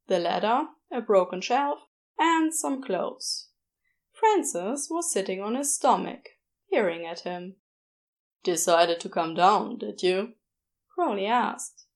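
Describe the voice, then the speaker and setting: A woman reading a story aloud as an audiobook narrator, in expressive scripted narration. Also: on the high side. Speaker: audiobook narrator of fan fiction.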